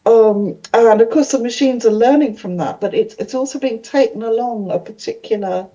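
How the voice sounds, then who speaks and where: An older Welsh-speaking woman talks on a conference panel, thinking aloud through pauses and restarts. Her voice is dark and mellow in tone, and the recording sounds dull and lo-fi. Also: fairly high pitch. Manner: thoughtful, many fillers and restarts.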